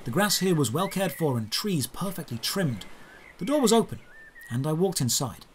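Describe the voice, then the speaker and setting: A man narrating a scripted first-person fantasy audio drama, with measured, descriptive, dramatic phrasing. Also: fairly high pitch, big pitch swings, a little hiss or hum.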